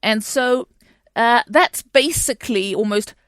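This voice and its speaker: A woman's voice: a little high, takes it slowly, a bit bright, no echo, clean studio sound. A woman from Chile, a guest historian, answering interview questions in a chatty way with many fillers and restarts. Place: home in London.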